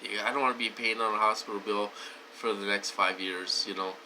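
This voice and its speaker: A man's voice: lowish voice, fairly even intonation, fairly quick, bright, forceful tone, slight background noise, poor audio. A man talking casually to his viewers, wheezy and short of breath, rambling with many fillers and restarts. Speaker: vlogger. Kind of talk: casual talk to camera.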